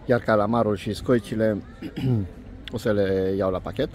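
A man doing a casual food review vlog in short, unhurried, appreciative phrases, recorded outdoors on a handheld phone. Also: fairly low pitch, fairly even intonation, a little mellow, a little hiss or hum. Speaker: food vlogger. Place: outdoor restaurant terrace by a lake.

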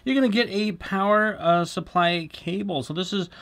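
Middle-aged man, higher-pitched voice. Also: moderate pace, fairly bright tone. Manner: chatty, explanatory. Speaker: product review host. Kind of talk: casual product demonstration to camera.

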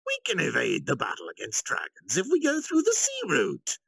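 A man's voice: on the high side, huge pitch swings, normal speed, gravelly, fairly bright tone, breathy edge, dry recording, voice pushed up, exaggerated voice. A man voicing a smiling, sly young schemer with a light, teasing, smug manner for a story reading. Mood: confident.

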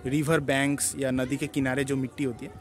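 A man narrates a casual travel vlog, explaining things with halting pauses. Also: medium pitch, little pitch movement. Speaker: travel vlogger. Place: outdoors at a tourist site and inside a car.

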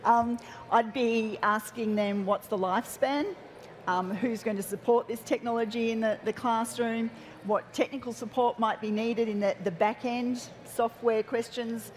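A woman's voice: a little high, fairly even intonation, unhurried, slight background noise. A woman speaking as an ICT director on a conference panel, friendly and matter-of-fact. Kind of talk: panel discussion answer.

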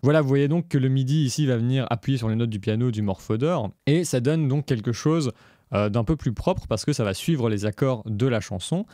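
A man's voice: mid-range voice, a little fast, dark tone, no room sound, clean studio sound. A man presenting a music production tutorial in a casual, step-by-step explanatory way. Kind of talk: casual screencast tutorial narration.

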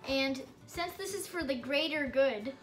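A woman's voice: sits high, a little slow, a bit bright, soft background music. A teenage girl chatting casually and teasing as a co-host in a homemade video. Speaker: daughter and co-host. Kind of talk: casual chat, teasing commentary. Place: small room at home. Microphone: camera or phone a short way off.

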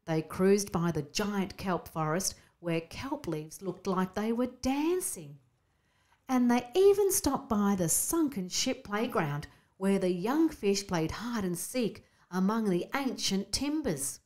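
Slow, lively woman telling children's stories. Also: mid-range voice. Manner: expressive, storybook delivery. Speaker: storyteller. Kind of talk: scripted children's story narration.

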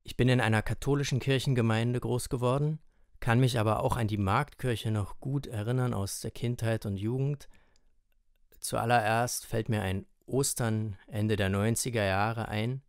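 Male musician from eastern Germany, slightly low voice, prepared introduction, close dry sound. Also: no room sound. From Halle, eastern Germany. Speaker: jazz trumpeter.

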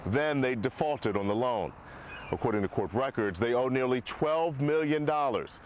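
Measured male news reporter. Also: medium pitch, a little hiss or hum. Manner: steady, measured delivery. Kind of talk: scripted news narration.

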